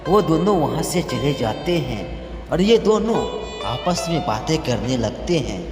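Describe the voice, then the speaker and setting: High, animated, slow-paced male storyteller. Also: reverberant, big-room sound. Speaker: storyteller narrator. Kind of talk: scripted moral story narration. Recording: loud background music.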